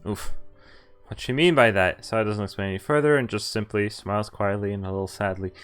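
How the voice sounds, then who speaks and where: A man with a clear, smooth voice is streaming a story game. He reads the text aloud, sometimes hesitantly, and slips into casual, amused commentary over faint music. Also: fairly low pitch, fairly even intonation, a little fast, a bit bright. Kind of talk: reading a visual novel aloud with casual commentary.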